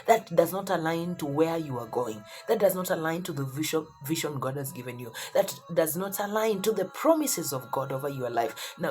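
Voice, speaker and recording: Animated low-voiced woman preacher. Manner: emphatic, repeats phrases for effect. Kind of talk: motivational sermon.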